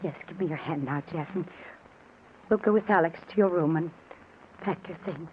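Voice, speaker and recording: A woman playing a gentle, anxious wife who speaks in endearments, in an old-time radio drama. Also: on the low side, moderate pace, natural voice. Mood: soothing. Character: soothing, anxious wife of a disturbed man. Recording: tinny, noisy old radio recording.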